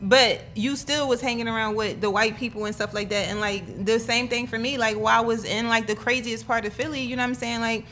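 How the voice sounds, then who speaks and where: A woman's voice: a little high, fairly quick, hardly any pauses, slight background noise. A young woman from Philadelphia, a guest musician in casual chat, rambling with lots of fillers. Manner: rambling, filler-heavy, animated.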